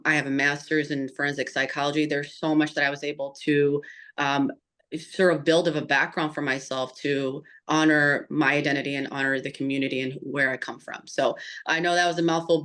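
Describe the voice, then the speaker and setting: A woman's voice: deep voice, a bit bright. A young woman from the Chicago area, a police detective, giving an earnest, passionate interview answer and often restarting her phrases. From Chicago area, USA.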